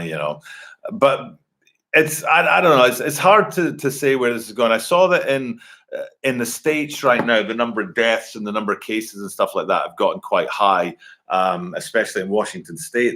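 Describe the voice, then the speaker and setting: Rambling man in his forties hosting a casual live stream at his computer, voice sits low. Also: a bit bright. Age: 40s. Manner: rambling, many fillers and restarts. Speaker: livestream host. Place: home, at a computer. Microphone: computer webcam mic.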